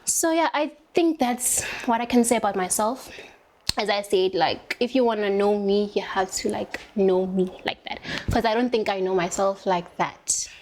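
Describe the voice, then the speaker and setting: A woman's voice: mid-range voice, a little mellow. Young South African woman, chatty and rambling. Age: young adult. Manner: rambling, many "like" fillers, drawn-out words. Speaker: interviewee doing makeup. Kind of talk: casual chat while applying makeup.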